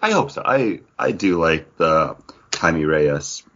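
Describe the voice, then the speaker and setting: A man hosting a casual podcast, chatting in an animated, joking way with quick asides. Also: deep voice, creaky, dull audio. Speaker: podcast host.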